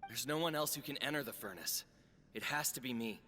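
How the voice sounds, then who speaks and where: A man voicing a grave, principled forge overseer in a video game, with a measured, formal and earnest delivery. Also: mid-range voice, bright, forceful tone, a little hiss or hum, close to an everyday voice. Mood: resolute.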